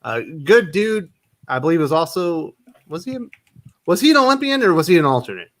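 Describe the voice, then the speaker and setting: A man's voice: a little high, very animated, a little fast, a bit bright, a little hiss or hum. A man hosting a casual livestream chat. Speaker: livestream host.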